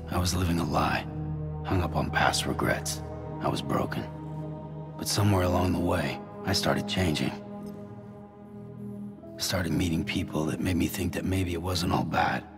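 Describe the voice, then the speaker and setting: A brooding game hero with an extremely deep voice full of gravel. He is middle-aged and guarded, muttering tersely like a worn-out courier, with loud music behind him. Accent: American. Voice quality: gruff, gravelly. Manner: low-key, terse, muttering. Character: world-weary, guarded male courier, gruff and brooding. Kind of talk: cinematic video game acting.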